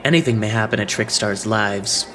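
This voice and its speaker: A man's voice: on the low side, moderate pace, a bit bright, slight background noise, close to an everyday voice. A man voicing a suave, slyly playful young idol leader in an anime fandub, composed and teasing.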